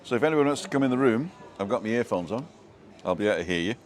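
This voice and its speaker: A man from Manchester with a soft local English accent, hosting a casual live stream and chatting with viewers as he reads their comments. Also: lowish voice, expressive, very fast. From Manchester, England.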